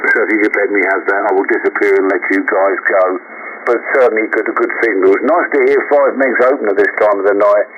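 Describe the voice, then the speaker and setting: A man with a lowish voice chats casually on ham radio. The shortwave link is thin, phone-like and noisy. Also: steady tone, fast. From England, south of London. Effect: shortwave radio transmission. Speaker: amateur radio operator. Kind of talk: casual radio contact chat.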